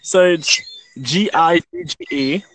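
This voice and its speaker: A man from London in a casual chat, relaxed and rambling with plenty of likes. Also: on the high side, slow, slight background noise, rough recording. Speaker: chat participant. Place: Saint Lucia.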